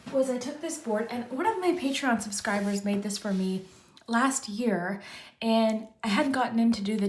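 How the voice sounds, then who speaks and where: A woman talks her viewers through a weekly tarot reading in a loose, rambling way, with lots of filler and restarts. Her voice sits a little higher than most and sounds slightly hoarse, as if she is losing it. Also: a little mellow. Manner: chatty, rambling, frequent "kind of" and restarts. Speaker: tarot reader, channel host. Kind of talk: casual pick-a-card tarot chat to camera.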